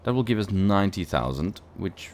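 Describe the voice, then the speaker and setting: A man's voice: deep voice, animated, moderate pace, darkish tone, a little hiss or hum. A man who is not a native English speaker gives casual gameplay commentary as a let's play host, thinking aloud with playful asides.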